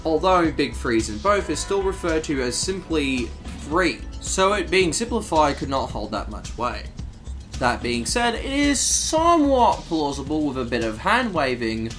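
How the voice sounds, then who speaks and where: A man's voice: on the high side, big pitch swings, unhurried, fairly bright tone, faint music behind. A man hosting a video essay, narrating from a script.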